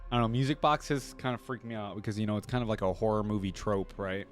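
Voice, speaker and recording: A man with a fairly low voice hosts a casual reaction. He thinks out loud in informal questions and exclamations. Faint music plays behind his commentary. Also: steady tone, fast talker. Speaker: reaction video host. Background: instrumental theme music with piano and choir.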